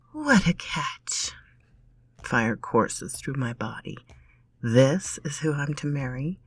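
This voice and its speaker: A woman narrating a story in a dramatic, breathy, often whispered style. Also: rumbling low, dry recording.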